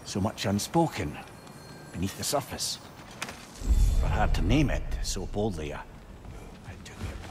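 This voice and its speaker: A man's voice: lowish voice, big pitch swings, a little slow, pauses often, over music. An actor playing a chatty, wry, sentimental male companion in a video game, telling stories in a wordy, teasing way. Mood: wistful. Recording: loud game ambience behind.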